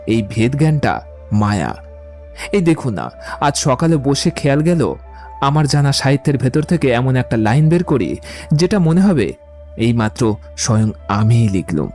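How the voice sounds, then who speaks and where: A man's voice: medium pitch, a little mellow. A man playing a witty, poetic young romantic in an audio drama, eloquent and playfully theatrical. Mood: witty, expansive. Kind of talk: dramatised audiobook dialogue acting.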